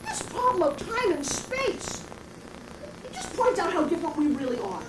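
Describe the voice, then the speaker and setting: A raspy, brash bag lady who buttonholes passersby and rambles on philosophically, as an actress puts her on. Her voice sits very high and leaps wildly up and down. It is heard from a distance in an echoey room, with a steady hiss of noise behind it. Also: normal speed. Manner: confrontational, addresses passersby directly. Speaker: actress performing a stage monologue. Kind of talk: theatrical comic monologue.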